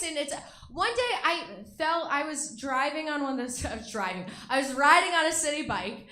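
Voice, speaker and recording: Young woman doing stand-up in a club, high bright voice, giggly and self-deprecating, echoey room. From Russia. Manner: bubbly, self-mocking, laughs between jokes. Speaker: stand-up comedian. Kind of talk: stand-up comedy set. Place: comedy club stage.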